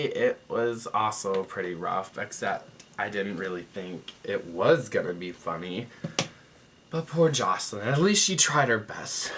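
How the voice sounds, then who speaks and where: Sassy young guy ranting to camera, swears a lot, super bright voice. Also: medium pitch, a little hiss or hum. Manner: sassy, profane and gossipy, with mocking quoted voices. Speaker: vlogger reviewing a TV show. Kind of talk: casual talk to camera.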